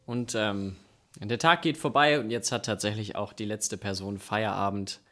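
A man's voice: fairly low pitch, normal speed, bright, forceful tone. A young man narrating an improvised radio play on stage into a stand microphone. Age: young adult. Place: dark curtained theatre stage. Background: live background music.

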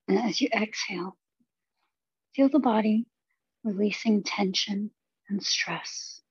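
A woman teaching meditation, leading a guided body scan with gentle, unhurried instructions and long pauses. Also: medium pitch, breathy edge, no room sound, lo-fi sound.

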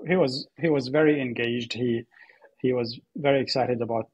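A man from Syria with a very husky, breathy voice talks as an interview guest. He tells his story conversationally and haltingly, repeating words as he goes. The sound is close and dry. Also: medium pitch, steady tone, darkish tone, no room sound, muffled recording. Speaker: podcast guest, AI startup co-founder.

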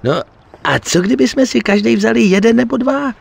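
A male actor in a dubbed video game, playing an excitable, greedy gangster sidekick who swears and wheedles. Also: extremely high pitch, creaky, husky, heavily acted. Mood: excited, tempting.

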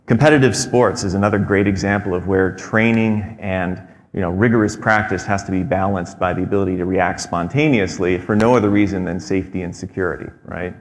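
A man in his thirties giving a prepared talk on a theater stage, measured and explanatory, with frequent fillers. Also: deep voice, steady tone. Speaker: presenter. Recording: long hall reverb.

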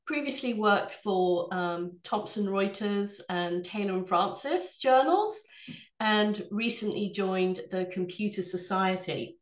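A woman from England with an English accent, head of sales, presenting a webinar from her office in a measured, polished way. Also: mid-range voice, lively intonation, echoey room. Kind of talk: scripted product presentation.

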